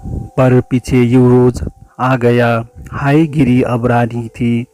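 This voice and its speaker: A man reciting a poem in a measured, declamatory way, drawing out the ends of lines. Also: mid-range voice, warm tone. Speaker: poetry reciter. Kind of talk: dramatic Hindi poem recitation.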